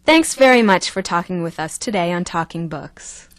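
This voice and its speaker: A woman's voice: on the low side, animated, moderate pace, dry recording, lightly acted. An actress playing a chatty, self-assured female talk show co-host in an audio drama. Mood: polite, hosting.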